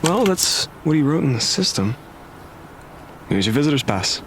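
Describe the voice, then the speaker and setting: A man's voice: on the high side, very animated, normal speed. A man playing a courteous army lieutenant working a front desk in an audio drama. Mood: businesslike. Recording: noisy background ambience.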